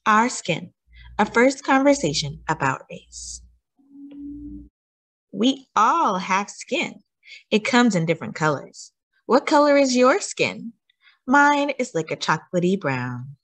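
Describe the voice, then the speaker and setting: A woman reading a children's picture book aloud as a story time host, with deliberate, teacherly emphasis and questions to young listeners. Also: medium pitch, big pitch swings, a bit bright.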